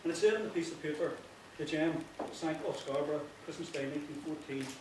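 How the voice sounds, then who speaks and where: A man with a Northern Irish accent giving an informal, earnest speech, often halting and repeating himself. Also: fairly high pitch, steady tone. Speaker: playwright giving a thank-you speech. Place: echoey indoor hall before an audience. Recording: very echoey, distant, noisy with clicks.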